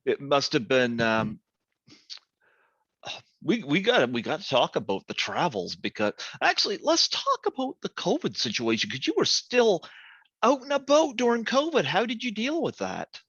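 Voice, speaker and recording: A man's voice: on the high side, huge pitch swings, moderate pace, fairly bright tone, husky, dry recording. A man hosting an interview, talking in a rambling way with lots of fillers. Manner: rambling, many ums and uhs. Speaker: podcast host. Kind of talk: casual interview chat. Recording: dry, muffled lo-fi audio.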